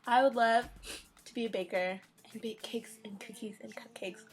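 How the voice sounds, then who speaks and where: A young woman co-hosting a casual fan-channel video, answering questions in a relaxed, giggly way. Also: on the high side, moderate pace. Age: young adult. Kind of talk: casual Q&A chat.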